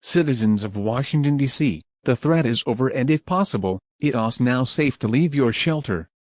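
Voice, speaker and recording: A man's voice: medium pitch, animated, moderate pace, no room sound. A man reading scripted emergency broadcast announcements as an alert announcer. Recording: clearly noisy background.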